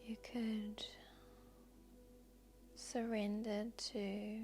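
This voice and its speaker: A woman guiding a meditation in a soft, breathy, whispery voice, speaking gently and soothingly with long unhurried pauses. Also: mid-range voice, monotone. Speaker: yoga nidra guide and host. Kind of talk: guided meditation narration. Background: background music.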